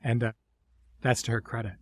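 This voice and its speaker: A man hosting a conversational interview, speaking thoughtfully in phrases broken by pauses and restarts. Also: medium pitch, flat delivery, no room sound. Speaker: host, series creator.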